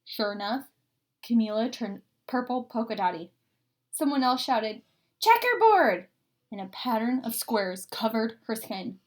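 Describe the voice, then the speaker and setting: A woman with a fairly high voice reads a picture book to children. Her delivery is expressive, and she stretches and stresses key words as a teacher would. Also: moderate pace. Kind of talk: expressive children's read-aloud.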